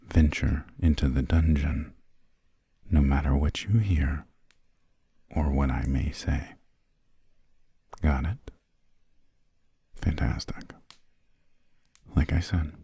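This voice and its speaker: An aristocratic vampire master of a castle, performed by a man, speaks extremely low in a husky, breathy murmur. His delivery is slow and genteel, with an imperious formality suited to intimate ASMR roleplay. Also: warm tone, dry recording, heavily acted. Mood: stern, then cool, measured. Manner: formal, haughty, old-fashioned genteel phrasing. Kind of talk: whispered ASMR roleplay, slow scripted monologue.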